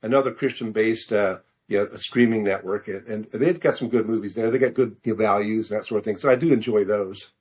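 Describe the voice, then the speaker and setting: A middle-aged American man answering questions in a casual interview, halting and self-deprecating as he searches for his words. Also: on the low side, darkish tone, slightly rough. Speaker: author interview guest.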